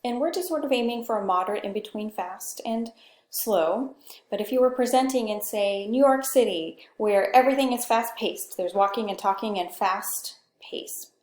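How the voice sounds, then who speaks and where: A soft-spoken woman with a Pacific Northwest accent giving a conversational recorded course lecture, often checking in with "okay". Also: medium pitch, echoey room. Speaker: instructor.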